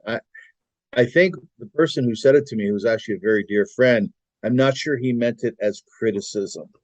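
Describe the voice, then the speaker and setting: A man's voice: lowish voice, a little mellow, no room sound. A middle-aged man, a podcast guest, talking earnestly with frequent restarts. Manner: earnest, complimentary, lots of restarts. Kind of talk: casual conversation. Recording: dry, dull lo-fi audio.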